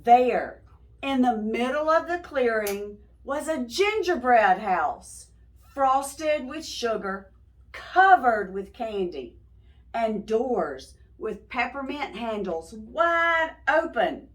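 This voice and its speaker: A woman's voice: on the high side, big pitch swings, slow pace. A woman reading a story aloud to young children as a host, stopping in a teacherly way to prompt them with simple questions.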